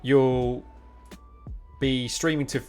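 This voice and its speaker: Male live streamer, mid-pitched, informal and rambling, encouraging, faint music behind. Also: steady tone, very slow, darkish tone. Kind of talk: casual chat with stream viewers.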